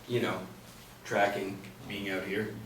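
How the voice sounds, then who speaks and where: Guy playing young male cop, skeptical n terse, lowish voice, bit far off w faint noise. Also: level tone, measured pace, close to an everyday voice. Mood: prodding. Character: laconic, skeptical young male police officer. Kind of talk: screenplay table read. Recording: echoey, distant mic, faint noise.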